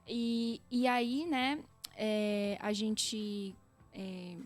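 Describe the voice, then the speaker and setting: A young Brazilian woman with a Brazilian Portuguese accent, a podcast guest telling lively stories and speaking straight to listeners. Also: medium pitch, drawn-out words, faint music behind. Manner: lively storyteller, often addresses listeners directly. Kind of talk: casual chat.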